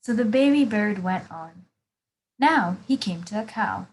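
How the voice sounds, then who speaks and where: A woman volunteer narrating a children's storybook in simple, deliberate phrases. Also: mid-range voice, expressive, rough recording.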